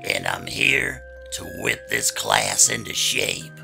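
A man's voice: fairly low pitch, slow, gravelly, music in the background, pitched above the performer's own voice, exaggerated voice. A man voicing a fierce, bossy witchy schoolteacher woman with a scratchy voice, in a storybook reading. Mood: menacing. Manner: stern, commanding.

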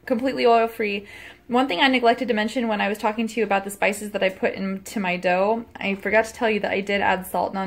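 A woman in her thirties chatting casually as she shows a recipe in her small home kitchen, self-deprecating and quick to laugh, recorded on a phone camera held close. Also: medium pitch. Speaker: cooking vlogger. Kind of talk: casual cooking vlog chat.

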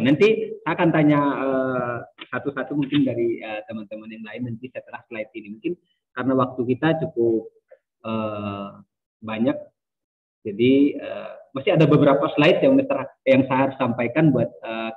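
Young male lecturer, casual and halting, tinny distant call audio. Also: medium pitch. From Sumba, Indonesia. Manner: casual, many hesitations and fillers. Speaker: university lecturer. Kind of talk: online lecture.

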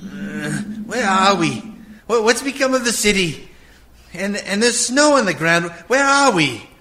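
An actor playing a querulous, fearful old miser in a radio play, hesitant and stammering. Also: male voice, high-pitched, sing-song, a little slow, pushed and bright, slight background noise, heavily acted. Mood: frightened.

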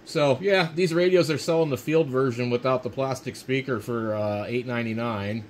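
A man's voice: medium pitch, moderate pace. American man chatting, faint background noise. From United States. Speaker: amateur radio operator. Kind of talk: casual ham radio chat. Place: indoor ham radio desk. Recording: faint radio hiss and room noise.

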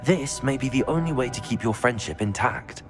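A man voicing a calm, persuasive young student wizard in a video game, with a British accent and a measured, reassuring manner. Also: medium pitch, over music, natural voice.

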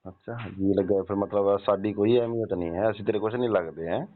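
A man's voice: on the low side, steady tone, quick pace, natural voice. A man playing a wheedling, insistent elderly father-in-law in a staged phone call, pressing and nagging with grunted question tags. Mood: wheedling, complaining. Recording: tinny narrow-band phone call.

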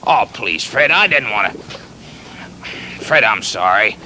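A kindly pirate captain for a children's show. The voice is high for a man, very bright and forceful, stumbling and repeating itself in a folksy, flustered way, over faint noise. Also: big pitch swings, heavily acted, rough recording. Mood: apologetic. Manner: rambling, halting, stammering, repeats himself.

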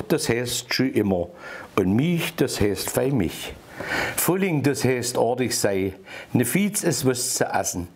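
Man in his sixties, Erzgebirge accent, husky, lively intonation, rhythmic verse recital. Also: medium pitch. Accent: Erzgebirge Saxon. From Erzgebirge, Germany. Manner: rhythmic, rhyming delivery read from paper. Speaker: dialect poet. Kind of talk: reciting a humorous dialect poem. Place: small indoor room by a wood stove.

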